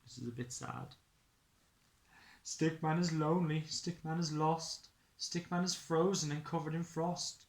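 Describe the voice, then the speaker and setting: A man's voice: on the high side, fairly even intonation, measured pace, gravelly, roomy sound. A man, an early years teacher, telling a picture-book story to young children expressively and explaining it simply.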